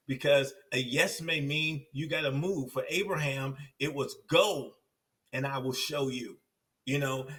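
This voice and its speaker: A man's voice: medium pitch, recorded from a distance. A man telling his life story as a podcast guest, acting out other people's lines as he goes. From Compton, California. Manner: animated storyteller acting out quoted voices. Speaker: podcast guest, success coach.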